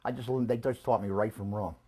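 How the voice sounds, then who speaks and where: Older man, hoarse, halting family reminiscing, chuckles between stories. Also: fairly low pitch, steady tone, mellow. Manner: halting, many restarts and chuckles. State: losing his voice. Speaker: interviewee recalling family history. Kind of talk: casual family interview.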